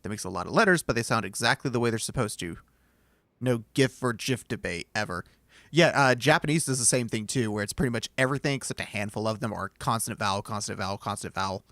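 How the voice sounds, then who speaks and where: A man's voice: fairly low pitch, quick pace, fairly bright tone, no echo. Casual, dry-voiced male streamer. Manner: reads game lines aloud, laughs and swears. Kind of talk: casual chat over a game.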